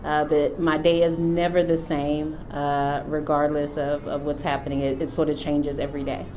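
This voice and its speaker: A middle-aged woman from the United States, a company founder, speaking about her work and faith in an unhurried, reflective way with frequent pauses. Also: low-pitched, little pitch movement, over music. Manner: unhurried, thoughtful, many filler pauses. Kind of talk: reflective personal testimony.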